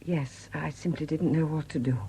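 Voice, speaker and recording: An actress in a 1970s British radio drama playing a widowed murderess who confesses in a hesitant, confiding manner. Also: female voice, low-pitched, steady tone, normal speed, mellow, slight background noise. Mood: tense recollection. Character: quiet confessing murderess, a Welsh sculptor's widow.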